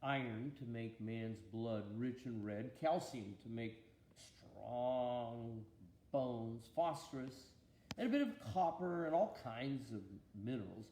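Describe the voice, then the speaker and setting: A man reading a children's Bible storybook aloud with an expressive, deliberate storytelling manner. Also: medium pitch, echoey room, slight background noise.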